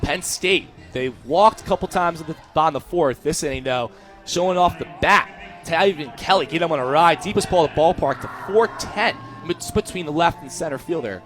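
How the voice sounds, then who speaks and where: A man's voice: on the high side, fairly quick, fairly bright tone, faint music behind. A young American man giving live color commentary and analysis between plays on a radio baseball broadcast. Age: young adult.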